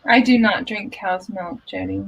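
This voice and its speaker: A woman hosting a casual livestream from her home office, chatty and quick to laugh. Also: mid-range voice, creaky, breathy edge. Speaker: livestream host. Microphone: video call. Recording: dull lo-fi video call audio.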